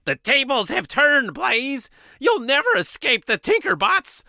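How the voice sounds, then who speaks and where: Shrill nerdy villain scientist, high and bumbling, storybook read. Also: male voice, wildly swooping pitch, a little slow, gravelly, sharp tone, no echo, clean studio sound, heavily acted. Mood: gloating. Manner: squeaky, shrill villain taunts.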